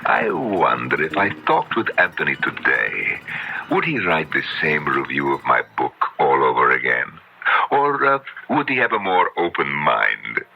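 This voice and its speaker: A sinister occult writer from vintage radio drama. His very deep voice swoops theatrically up and down with mock courtesy and smug menace. The old broadcast sounds dull. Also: sing-song, measured pace, gravelly, slightly rough, full character voice. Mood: coy, mocking. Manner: pompous, mock-courteous, teasing. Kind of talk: 1970s radio drama acting.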